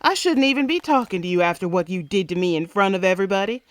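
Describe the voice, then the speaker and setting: A woman's voice: mid-range voice, fast talker, dry recording, lightly acted. Woman playing a resolute frontier lady sheriff. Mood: conflicted, hurt. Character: resolute female frontier sheriff, fair-minded and lovestruck. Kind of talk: comic-book Western radio drama.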